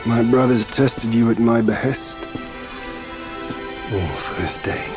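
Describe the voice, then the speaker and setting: An actor playing a solemn, wise elder in a game cutscene, speaking in a measured, grave manner. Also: male voice, mid-range voice, lightly acted. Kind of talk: dramatic game cutscene acting. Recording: loud music under the voice.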